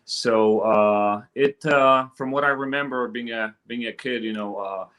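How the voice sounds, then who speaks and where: A man's voice: medium pitch, measured pace, a bit bright. A middle-aged man from Poland, casually recollecting as an interview guest. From Poland, later Chicago from age twelve. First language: Polish. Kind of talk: casual personal recollection in conversation.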